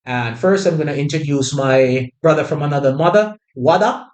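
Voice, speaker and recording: A man's voice: a little high, moderate pace. A man hosting a podcast, introducing his guests in a friendly, brotherly way and often saying "you know.". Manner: warm, brotherly welcomes with filler "you know". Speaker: podcast host.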